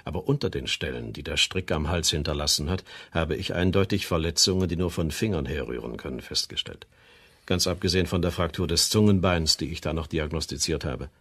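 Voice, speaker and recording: Deep, husky pathologist. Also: male voice, a bit bright, heavily acted. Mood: clinical, firm. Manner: measured, clinical, explanatory. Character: precise, sceptical male pathologist reporting autopsy findings. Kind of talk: German radio crime drama acting.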